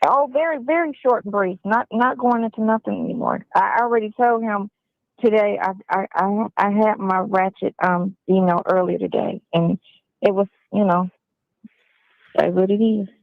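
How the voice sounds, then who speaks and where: Gravelly woman on a tinny phone line. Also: medium pitch, moderate pace, dry recording. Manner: insistent, defensive, blunt. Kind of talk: casual phone conversation. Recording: tinny, band-limited jail phone line.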